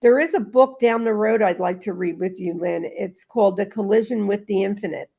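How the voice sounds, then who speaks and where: A woman's voice: medium pitch, brisk, vocal fry, dark tone, breathy edge. A woman talking casually and reading aloud in a spiritual discussion group, in a rambling, emphatic way that circles back on itself.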